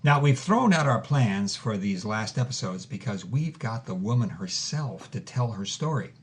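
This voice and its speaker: A man's voice: fairly low pitch, lively intonation, normal speed, close to an everyday voice. An actor playing an earnest, theatrical male host who presents and interviews in a scripted audio drama podcast. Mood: grand, dramatic.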